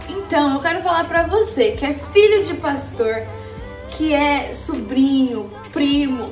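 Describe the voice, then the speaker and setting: A young woman vlogger chatting casually and motivationally, animated and slangy, speaking straight to her viewers. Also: high-pitched. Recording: roomy echo, faint background music.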